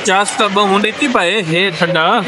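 A man's voice: sits high, slow, a loud crowd. A man with a Pakistani accent chatting casually at an outdoor restaurant, picked up by a handheld phone. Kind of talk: casual chat. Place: outdoor restaurant seating at night. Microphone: handheld phone camera, a little away. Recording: loud outdoor ambience with traffic noise.

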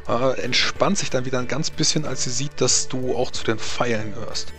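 Knarzender Spielleiter, erzählt improvisiert. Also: male voice, mid-range voice, normal speed, bright, forceful tone. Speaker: game master. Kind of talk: improvised tabletop game narration. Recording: background music.

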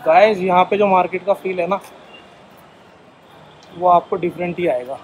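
A man's voice: high-pitched, normal speed, slight background noise, low-quality recording. A man in his thirties, a vlogger chatting casually to his viewers on a phone camera while exploring a market and a cafe. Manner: chatty, addresses viewers as guys. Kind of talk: casual travel vlog. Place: car, then a busy street market and a cafe.

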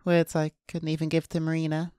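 A woman's voice: deep voice, completely flat delivery, fairly quick, clean tone, no room sound, studio quality. A woman streamer reading visual novel narration aloud, with casual asides. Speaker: VTuber streamer. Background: soft guitar game music.

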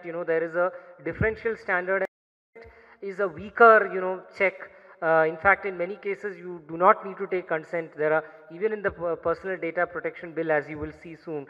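A man in his thirties with a high voice and an Indian English accent presents at a podium in a large conference hall. He explains in a measured way, often pausing on fillers and restarting phrases. The sound is muffled and carries a long hall echo. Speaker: panel speaker. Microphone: podium gooseneck mic. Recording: muffled reverberant hall recording.

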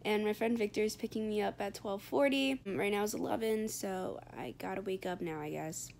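A woman's voice: medium pitch, moderate pace, vocal fry, darkish tone. A young woman chatting casually to her phone camera in a day-in-the-life vlog, upbeat and full of "like" fillers. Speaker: vlogger. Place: bedroom at home, later outdoors on a windy beach. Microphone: phone camera at arm's length.

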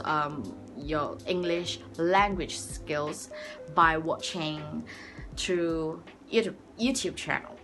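A young woman from Sabah with a Malaysian accent whose first language is Malay, talking to camera in an earnest, rambling way, full of restarts, in a small room. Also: on the low side. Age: young adult. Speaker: vlogger. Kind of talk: casual talk to camera. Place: small room indoors, by a door. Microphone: front-facing camera at arm's length.

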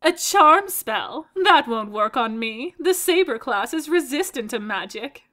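A woman's voice: a little high, big pitch swings, slow, pushed and bright, exaggerated voice. A woman voicing an earnest, proud female knight for a comedic cartoon dub.

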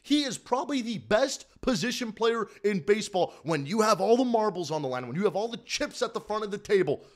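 A man's voice: high-pitched, very animated, fairly quick, few pauses. A young man in his twenties hosting a live-stream postgame recap from a small room at home, hyped and repetitive, often calling out to everybody in the chat. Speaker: sports fan streamer host. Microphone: desk mic in front of the face.

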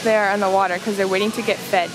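A woman's voice: medium pitch, little pitch movement, very fast, heavy background noise. A teenage girl from New Hampshire vlogging a beach trip, chatty and upbeat with lots of "like" fillers, filming herself on a handheld camera at arm's length, outdoors and in a hotel room. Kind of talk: casual travel vlog.

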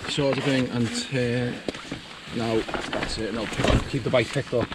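Practical male riding buddy, even middle voice, outdoor trail, noisy background. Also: mid-range voice, unhurried. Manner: matter-of-fact, giving practical advice. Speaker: riding companion. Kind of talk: casual chat on a ride. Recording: outdoor wind and bike noise.

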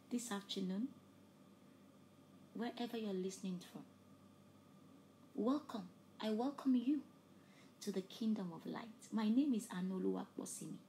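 A woman with Yoruba as her preaches fervently, her mid-range voice climbing to loud emphatic peaks. She often drops to soft, breathy near-whispers. A faint hiss of noise runs under the recording. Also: mid-range voice. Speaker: preacher and host. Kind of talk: preaching a sermon with an altar call.

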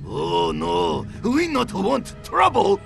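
Boastful, cowardly ninja guard for a game: Japanese accent, extremely gravelly, slow and exaggerated. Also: male voice, very high voice, wildly swooping pitch, music in the background, full character voice. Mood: alarmed. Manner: broken English, exaggerated delivery. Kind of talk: video game cutscene acting.

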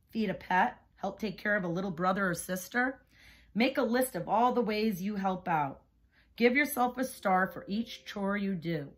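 Husky-ish lady teacher doing a kids read aloud, lowish voice, asks the listeners stuff. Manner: pauses to put questions to young listeners. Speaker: teacher reading a picture book aloud. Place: small room indoors, seated on a couch by a window.

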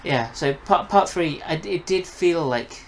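A man's voice: on the high side, expressive, a little slow. A young man in a casual, conversational review chat, with a wry manner. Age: young adult. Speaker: podcast reviewer and co-host. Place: bedroom, indoors. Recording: noisy home room recording.

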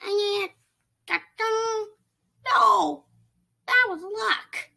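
A vain little water robot from a game. His voice is squeaky and very high, with a bright, gravelly bite. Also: extremely slow, exaggerated voice. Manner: vain, showy.

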